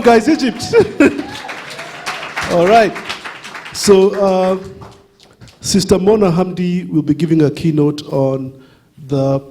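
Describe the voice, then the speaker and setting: A man's voice: a little high, darkish tone. A man emceeing a conference, upbeat and hyping the audience between speakers, with some "um"s. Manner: upbeat, hyping the crowd, with fillers. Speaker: event emcee.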